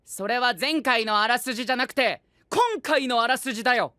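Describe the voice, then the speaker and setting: An actor playing a prim, rule-bound young gentleman knight who speaks pompously and tosses in English exclamations, in an anime audio drama. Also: very high voice, brisk, clean tone, no room sound, studio quality. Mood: protesting. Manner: pompous, drops English exclamations into formal speech. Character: prim penguin gentleman knight, youthful, fussy about rules.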